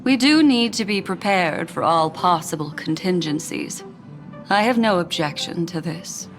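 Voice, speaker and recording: A composed woman with a slightly low voice, speaking very slowly and deliberately. Her phrasing turns lofty. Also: animated, faint music behind. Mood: calm. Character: calm, composed woman expedition member. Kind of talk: game voice acting.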